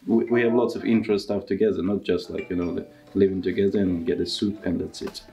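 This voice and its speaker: A man in his thirties with a Russian accent, talking casually in halting English during a seated interview in a costume workshop. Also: low-pitched, fairly even intonation, mellow. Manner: halting non-native English with restarts. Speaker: circus performer and tent master.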